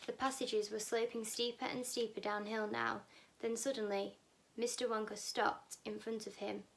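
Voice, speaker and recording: A woman's voice: on the high side, flat delivery, moderate pace, rough recording. A woman reading a children's novel aloud as scripted narration. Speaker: storybook reader, teaching assistant.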